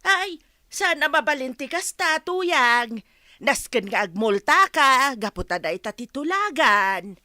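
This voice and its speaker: A woman performs a proud Ilocano friend in an audio drama, insistent in manner. The voice is very high and bright, drawn out slowly with big swings of pitch. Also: big pitch swings, no echo, clean studio sound, exaggerated voice. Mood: firm, teasing. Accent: Filipino, Ilocano.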